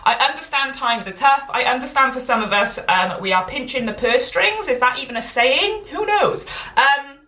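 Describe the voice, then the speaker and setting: A woman's voice: high voice, expressive, normal speed, bright, forceful tone. A woman with a Welsh accent, giving a chatty live tutorial on sewing from her home, friendly and prone to tangents. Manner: friendly and encouraging. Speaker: sewing teacher. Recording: echoey room.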